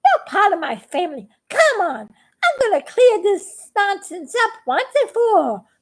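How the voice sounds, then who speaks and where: A small, soft-hearted boy frog, put on by a woman telling a story whose voice jumps way up high and swoops around. He frets and pleads in a thin, squeaky tone, full of worry for his friends. It sounds like a homemade storybook telling. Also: big pitch swings, measured pace, exaggerated voice. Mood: joyful. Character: timid little boy frog, kind-hearted and anxious.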